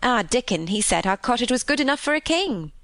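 A woman's voice: on the high side, very animated, a little fast, fairly bright tone, no room sound, pitched above the performer's own voice. A woman voicing a cheerful young Yorkshire housemaid who chatters eagerly in folksy dialect for a storybook. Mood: delighted, cheerful.

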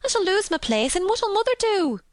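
High-pitched, agitated young housemaid. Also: female voice, big pitch swings, moderate pace, a bit bright, dry recording, clean studio sound. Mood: frightened, half crying. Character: agitated young Yorkshire housemaid, plain-spoken and fearful. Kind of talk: audiobook character voice.

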